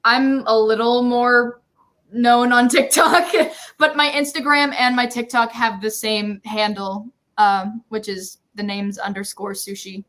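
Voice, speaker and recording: Slow-talking woman with a bright voice. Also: on the high side, fairly even intonation. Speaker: interview guest. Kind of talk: casual chat.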